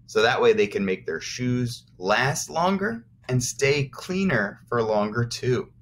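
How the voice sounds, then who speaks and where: A man's voice: medium pitch, expressive, slow, a bit bright, a little hiss or hum. An American man, a teacher of young children, reading aloud and explaining simply as he addresses the children directly. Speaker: first grade teacher.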